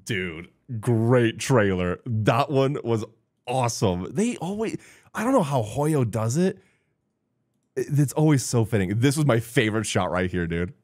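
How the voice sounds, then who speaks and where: A man's voice: medium pitch, expressive, a little slow, a little mellow, no echo. A young man giving enthusiastic, exclamatory, casual reaction commentary as a streamer, speaking close into a desk microphone. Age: young adult. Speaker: streamer reacting to a game trailer. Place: small home streaming room, indoors. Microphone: close desk microphone on an arm. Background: music from the game trailer.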